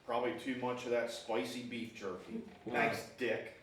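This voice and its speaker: A man's voice: medium pitch, flat delivery, moderate pace, a bit bright, a little hiss or hum, lightly acted. Sheepish hungover fishing buddy read by a man, wry grumble, distant from the mic, amateur read. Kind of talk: amateur table read of a comedy screenplay. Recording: very echoey room, far from microphone.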